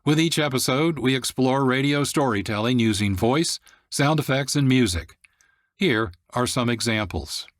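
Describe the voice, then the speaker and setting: A man hosting a radio program, reading scripted narration in a measured, plain announcer's manner. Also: medium pitch. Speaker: radio producer and host. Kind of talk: scripted narration, story recap.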